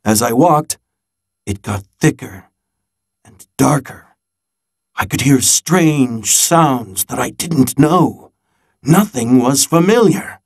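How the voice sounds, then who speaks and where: A man reads as an old grandfather in a children's storybook, recounting a seafaring adventure. The voice is husky and slow, with dramatic, suspenseful pauses. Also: medium pitch, expressive, full character voice.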